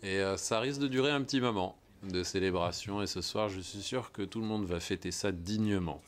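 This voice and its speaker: Enthusiastic man commentating a rocket launch. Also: fairly low pitch. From United States. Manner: enthusiastic, conversational, many hesitations. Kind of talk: live event commentary.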